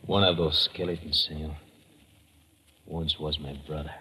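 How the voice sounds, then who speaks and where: An actor playing a loyal, solemn villager guide in an old radio drama, speaking simply and deferentially. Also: male voice, very deep voice, fairly even intonation, a little slow, sharp tone, heavily acted. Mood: hushed, grieving. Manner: deferential, simply phrased, earnest. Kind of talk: 1950s radio drama acting. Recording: old radio recording with background hiss.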